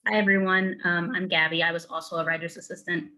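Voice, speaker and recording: A woman's voice: on the low side, flat delivery, quick pace, sharp tone. A young woman reading scripted narration and stage directions. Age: young adult.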